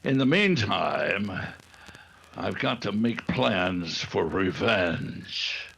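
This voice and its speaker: A man playing a scheming, vengeful gang leader in an old-time radio adventure, with halting, deliberate speech. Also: sits low, very animated, gravelly, fairly bright tone, breathy edge, heavily acted. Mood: vengeful, boastful.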